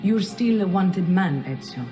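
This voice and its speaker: A woman playing a worldly Florentine advisor in a video game, calm and deliberate, with an Italian accent. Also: lowish voice. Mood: cool, advising. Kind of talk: dramatic video game dialogue. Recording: music playing behind the voice.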